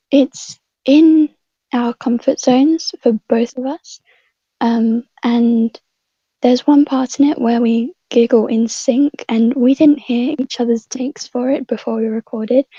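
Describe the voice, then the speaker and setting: Hesitant, high husky woman, slightly muffled. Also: warm tone, dry recording. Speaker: voice actor on a podcast panel. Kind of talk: casual chat.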